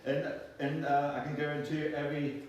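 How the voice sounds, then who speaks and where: A man's voice: a little high, flat delivery. Echoey, distant male storyteller. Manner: halting, repeats words and phrases. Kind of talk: live folk tale telling to a group. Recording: echoey room, far from mic, faint noise.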